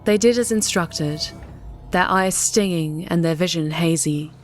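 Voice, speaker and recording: A woman narrates an audiobook story in a scripted reading style. Her voice sits a little low, with soft music underneath. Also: unhurried.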